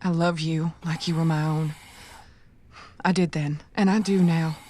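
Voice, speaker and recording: A motherly guardian in a cyberpunk radio play, affectionate with a scheming streak. Her voice sits a little low. She speaks in soothing, reassuring phrases. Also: fairly even intonation, a little slow, a little mellow. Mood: tender, reassuring. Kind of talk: cyberpunk audio drama acting.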